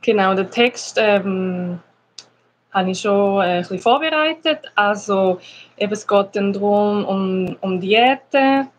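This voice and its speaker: A woman's voice: mid-range voice, measured pace, slight background noise, low-quality recording. A woman from Schaffhausen with a Swiss German accent, a class teacher talking casually and often affirming with hesitant fillers. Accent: Schaffhausen Swiss German with some Zurich words. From Schaffhausen, Switzerland. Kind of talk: casual chat.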